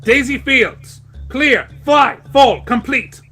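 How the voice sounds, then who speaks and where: A man's voice: very high voice, slow, pushed and bright, slightly rough, soft background music, heavily acted. A man voicing a stern, unfeeling male pegasus flight instructor who barks clipped orders in an audio drama. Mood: brisk, indifferent. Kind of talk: audio drama fanfic reading.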